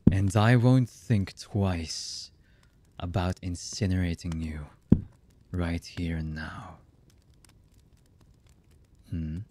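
A deep, husky male villain, acted by a man for an ASMR roleplay. He is cold and heartless, threatening with mock politeness. His delivery is extremely unhurried, with long, menacing gaps between phrases. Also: fairly even intonation, dark tone, exaggerated voice. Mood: menacing, mock-polite. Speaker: voice actor.

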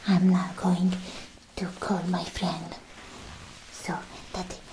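A woman playing a clingy, lovestruck alien girlfriend in a breathy, whispered ASMR roleplay, with a doting, halting manner. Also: lowish voice, flat delivery. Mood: reassuring. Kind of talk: soft-spoken ASMR roleplay, half whispered. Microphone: close microphone. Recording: close-miked with audible background hiss.

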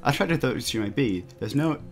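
A man reads a visual novel aloud as a let's player, at an average pitch with lively swings. He is jokey and trips over lines now and then. Game music plays softly behind him. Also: brisk. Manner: jokey, often stumbles over the text and breaks into laughter. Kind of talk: playful amateur read-aloud with banter.

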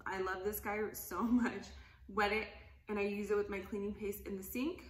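A woman's voice: medium pitch, fairly even intonation, moderate pace, echoey room. A woman in her thirties chatting enthusiastically as she demonstrates cleaning products, laughing often, in a casual home video. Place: living room, indoors, at a table.

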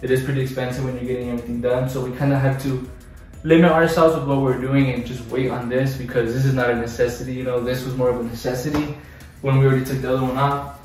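A man's voice: mid-range voice, level tone, fast talker, a little mellow, recorded from a distance, faint music behind. Echoey young man vlogging. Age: 20s. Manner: mixes Spanish words into his English. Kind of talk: casual house tour vlog, chatty. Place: indoors, small bathrooms and bedrooms of a house. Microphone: handheld camera or phone held by another person, a few feet away. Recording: roomy echo, voice away from the mic.

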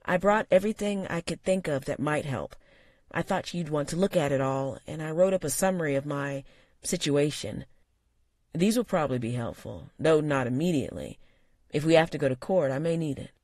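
A narrator voicing an anxious young woman who talks in a quick, nervous, earnest way. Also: medium pitch, expressive, darkish tone, dry recording, clean studio sound.

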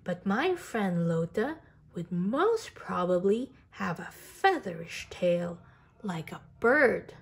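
A woman voicing a whimsical, insistent little girl from a picture book, earnest and emphatic with drawn-out words. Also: lowish voice, very animated, creaky, breathy edge, full character voice. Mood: earnest, dreamy.

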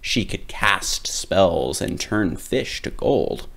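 A man reading a children's picture book aloud as a storyteller, with dramatic, drawn-out emphasis. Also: low voice, lively intonation, gravelly, a bit bright.

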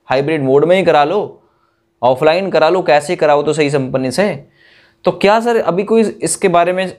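A young man giving an informal exam news update to camera in an emphatic, colloquial way full of rhetorical questions. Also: on the high side. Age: young adult. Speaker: exam coaching YouTuber.

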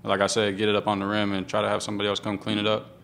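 Young male athlete, low and gravelly, quick flat answers into a table mic. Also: a little hiss or hum. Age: young adult. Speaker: college basketball player. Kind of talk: postgame press conference answers. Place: press room in front of a sponsor backdrop. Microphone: table microphone.

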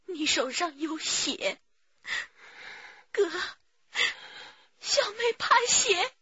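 An actress playing a grieving, devoted younger sister who pleads tearfully in a radio drama. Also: female voice, very high voice, sing-song, extremely slow, sharp tone, dry recording, highs cut off, exaggerated voice. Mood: anguished, tearful. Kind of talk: Chinese radio drama acting.

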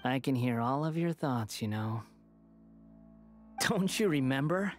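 An actor voicing an arrogant young male would-be god in a video game, talking down in a smug, mocking, slow lecturing way. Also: mid-range voice, animated, soft background music, natural voice.

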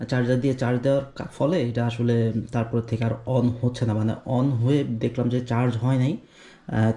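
Male vlogger, Bangladeshi accent, casual hesitant explainer, medium pitch, noticeably reverberant room sound. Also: mellow. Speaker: motorbike vlogger. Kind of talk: casual vlog talk to viewers. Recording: roomy echo, clearly noisy background.

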